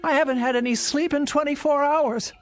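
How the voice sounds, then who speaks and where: A woman's voice: high voice, fairly even intonation, a little fast, faint music behind, close to an everyday voice. An older actress playing an anxious, insistent, pleading elderly invalid woman in a radio drama. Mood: agitated demanding. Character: frightened, fretful elderly invalid woman living alone.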